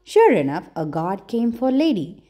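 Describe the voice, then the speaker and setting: A woman storyteller reading a children's storybook aloud, with deliberate, expressive phrasing and dramatic pauses. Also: mid-range voice. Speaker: storyteller, channel host.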